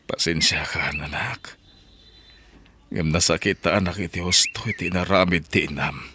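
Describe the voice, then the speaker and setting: A man's voice: deep voice, moderate pace, close to an everyday voice. A man playing an apologetic middle-aged father with a sorrowful, confessional delivery in an audio drama. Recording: clearly noisy background.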